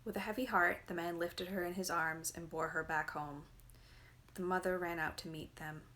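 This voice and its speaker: A woman's voice: on the low side, fairly even intonation, moderate pace, sharp tone. A woman narrating a fairy tale from a book as a dramatic, scripted reading. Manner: dramatic storytelling. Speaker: reader, narrator.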